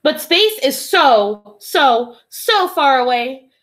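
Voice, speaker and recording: A woman's voice: high-pitched, sing-song, slow, fairly bright tone, a little hiss or hum, full character voice. A woman voicing an earnest, wishful little schoolgirl in a storybook. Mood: wishful, questioning. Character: little dreamy, eager schoolgirl.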